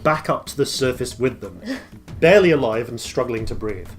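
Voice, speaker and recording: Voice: mid-range voice, expressive, a little fast. A game master narrating a tabletop game with a lilting delivery and frequent laughs.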